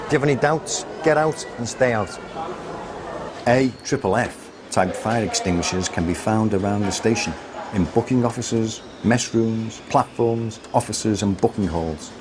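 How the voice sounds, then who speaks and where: A man acts as an experienced station supervisor in a staff training film, speaking in a low voice. He instructs a new recruit calmly and methodically, in a scripted way. Loud ambient noise of an urban site sits behind him. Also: lightly acted. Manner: methodical, checks understanding.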